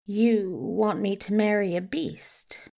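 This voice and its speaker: A woman's voice: mid-range voice, slow, creaky, mellow. A woman playing a mild, reluctant, bookish man in an audio drama, hesitant and understated in manner. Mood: reluctant, doubtful. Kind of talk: audio fiction character acting.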